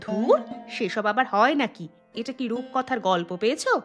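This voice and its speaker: A woman's voice: a little high, expressive, normal speed, music in the background, lightly acted. A woman voicing a sceptical, exasperated village housewife in a cartoon, questioning and scolding expressively. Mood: incredulous, exasperated. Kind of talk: cartoon voice acting.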